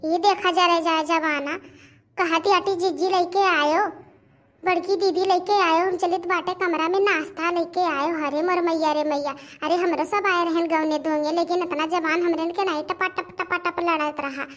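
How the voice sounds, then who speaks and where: A woman's voice: very high voice, fairly even intonation, normal speed, a bit bright. A woman voicing village women of a household in a cartoon family comedy, chatting repetitively in a rustic Awadhi accent. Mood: grumbling, astonished. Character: village women of a household, gossiping, admiring and grumbling in-laws.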